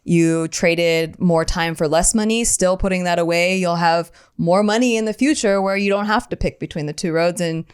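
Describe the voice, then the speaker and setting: A woman's voice: lowish voice, normal speed, few pauses, clean tone. A woman co-hosting a podcast, giving advice in a casual, conversational way. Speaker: podcast co-host.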